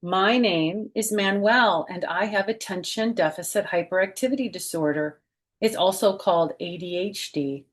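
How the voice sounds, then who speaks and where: A middle-aged woman reading a picture book aloud for children, with gentle teacherly phrasing and chatty personal asides. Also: medium pitch, moderate pace.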